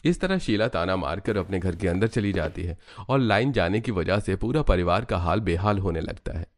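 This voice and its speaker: A man's voice: low voice, expressive, normal speed. A man narrating a scripted cartoon story as its storyteller.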